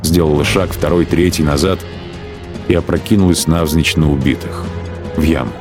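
A man's voice: a bass voice, steady tone, moderate pace. A man playing a sombre narrator in a scripted war audio drama. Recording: music behind the voice.

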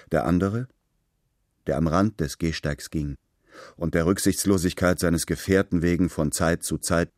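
A man's voice: low-pitched, darkish tone, no room sound, clean studio sound. A man narrating literary prose in a scripted radio drama style.

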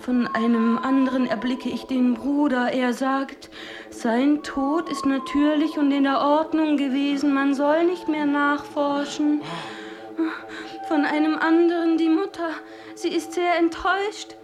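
A woman's voice: high voice, flat delivery, a little slow, over music. An actress playing a young female spirit medium in a trance, oracular, in a German radio drama. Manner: oracular, relaying messages of the dead.

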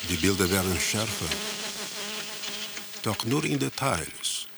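A man's voice: on the low side, lively intonation, slow, vocal fry, heavy background noise, heavily acted. An actor playing a detached observer in a radio drama, narrating what he sees in terse, clipped phrases. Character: detached male observer describing flickering film images.

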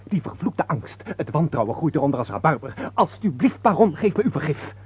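Agitated conspirator nobleman in period radio drama: raspy, mid-pitched, urgent, on a narrow phone-like old recording. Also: big pitch swings, fairly quick, full character voice. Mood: anxious, pleading. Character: anxious, urgent conspiring viscount. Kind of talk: theatrical radio drama acting.

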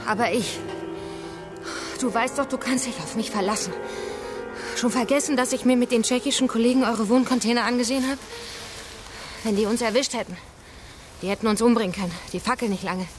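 A woman's voice: a little high, a little fast, long pauses, music in the background, natural voice. An actress playing a bossy, protective female aid worker in a radio drama, brusque and impatient in colloquial German. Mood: exasperated, urgent.

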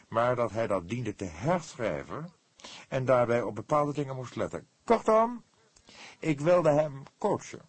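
A man's voice: medium pitch, breathy edge, no echo, voice pushed up. A man voicing a pompous, precise, easily indignant book publisher in an audiobook, speaking in an emphatic, formal, affected way.

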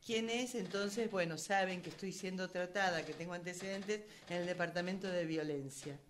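A woman's voice: on the low side, normal speed, a little hiss or hum. A woman with an Argentine accent, a drama workshop participant, casually explaining in colloquial speech. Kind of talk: casual discussion.

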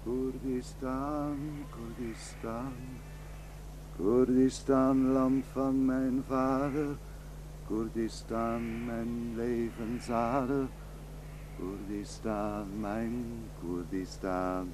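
An actor playing a solemn man intoning a poetic, drawn-out homeland lament in a radio drama. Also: medium pitch, little pitch movement. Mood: solemn, longing. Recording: quiet, noisy, distant with hum.

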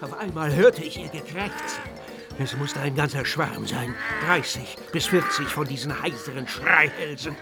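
Dramatic old eagle owl, rather high voice, formal, aggrieved, theatrical, children's radio play. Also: male voice, lively intonation, normal speed, loud music behind the voice. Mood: dramatic indignation.

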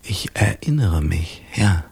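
An actor playing an eccentric, confused composer in a German radio drama, speaking in a halting, musing, courtly way. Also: male voice, sits low, big pitch swings, vocal fry, darkish tone, husky, heavily acted. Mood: confused, searching. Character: eccentric, confused amnesiac male composer in 1917 Paris.

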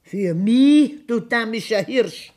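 A woman's voice: on the high side, animated, takes it slowly, dark tone, slight background noise. An actress playing a stern, pragmatic old farm grandmother with a Bavarian accent in a dialect radio drama, blunt and scolding. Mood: commanding. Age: older.

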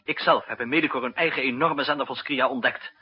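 A deferential, husky-voiced aide. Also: male voice, mid-range voice, fast. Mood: reporting. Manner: dutiful, formal, stumbles over titles. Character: deferential, flustered male aide to the president. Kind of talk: 1960s Dutch radio drama acting. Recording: muffled old radio broadcast recording.

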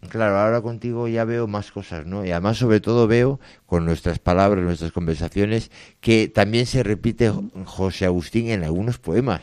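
A man co-hosting a casual literature chat, reading lines aloud and musing on them, often trailing off quietly. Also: lowish voice, normal speed, smooth, no room sound, clean studio sound. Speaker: co-host discussing poetry.

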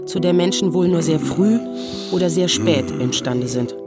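A woman's voice: sits low, moderate pace. An actress playing a melancholy woman in her forties who narrates an audio drama in a dry, reflective way. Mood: wry, observing. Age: 40s. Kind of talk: scripted literary drama narration. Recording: loud music under the voice. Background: music, car sounds.

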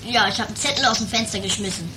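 A woman's voice: mid-range voice, rapid-fire, noisy background, close to an everyday voice. A woman playing a cheeky, naive male character in an improvised comic audio drama, offhand and a little hesitant. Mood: matter-of-fact.

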